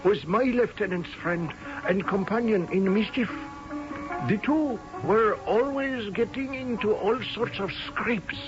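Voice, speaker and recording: An actor playing a deferential, solemn Indian houseboy with an Indian accent and ornate, formal phrasing in a radio drama. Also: male voice, high voice, measured pace. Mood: wry, storytelling. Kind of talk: radio drama acting and narrating in character. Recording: dull lo-fi old radio, music behind.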